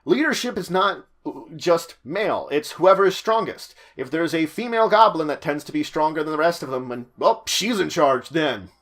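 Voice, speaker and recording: A man narrating a conversational explainer as a host, with theatrical emphasis, dramatic pauses and chatty asides. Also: on the high side, expressive, fairly bright tone. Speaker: host of tabletop roleplaying guide videos.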